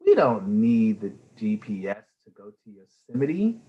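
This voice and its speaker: A man's voice: lowish voice, big pitch swings, unhurried, phone-quality sound. A man voicing a smug, know-it-all young brother in a storybook, matter-of-fact and needling.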